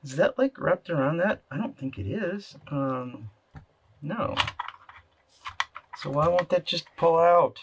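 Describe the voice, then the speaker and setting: A man's voice: fairly high pitch, expressive, takes it slowly, long pauses, muffled recording. A man talking casually and unscripted as he does hands-on repair work, muttering to himself and answering his own questions. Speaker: electronics repair hobbyist hosting a video. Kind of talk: casual unscripted talk while working. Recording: clear background noise, clicking and clatter.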